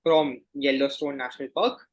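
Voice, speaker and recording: A man acting as quizmaster, reading out questions and confirming answers in a formal, measured way. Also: medium pitch, fairly even intonation. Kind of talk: reading quiz questions in an online quiz game.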